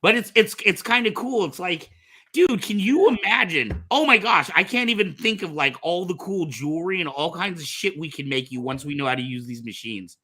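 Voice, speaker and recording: Bright, excitable man hosting a casual podcast. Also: high-pitched, moderate pace, slightly rough. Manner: animated, giggly, excitable. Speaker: talk show host.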